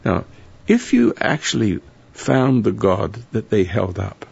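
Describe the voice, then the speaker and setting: A hoarse man hosting a live call-in radio show, rambling and preachy with a sardonic edge. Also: fairly low pitch, wildly swooping pitch, a little slow, darkish tone. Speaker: radio talk show host. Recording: dull lo-fi radio broadcast.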